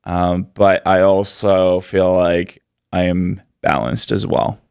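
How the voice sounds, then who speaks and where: A man in his thirties, raised in Poland, answering interview questions in a relaxed, rambling way with lots of fillers. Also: deep voice, slow, gravelly, smooth, no room sound, clean studio sound. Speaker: podcast guest, business owner.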